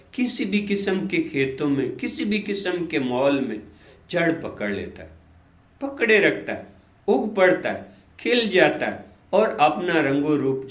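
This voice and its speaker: A man with a slightly high voice narrates a moral story in a motivational style. He is earnest and rhetorical, stacking short phrases for emphasis. The recording sounds roomy and echoing.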